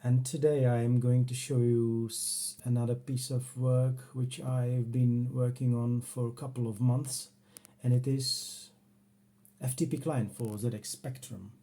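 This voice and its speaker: A man from the Czech Republic, speaking English with a Czech accent, calmly walking through a home computer demo in his workroom. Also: medium pitch. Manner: unhurried, plain, talks while working the computer. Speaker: retro computing hobbyist. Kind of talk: casual home demo walkthrough.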